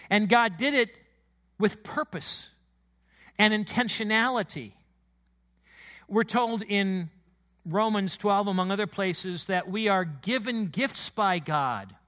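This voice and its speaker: A man preaching a sermon to his church congregation, with a booming voice and an emotional, excitable delivery. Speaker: pastor. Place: church sanctuary.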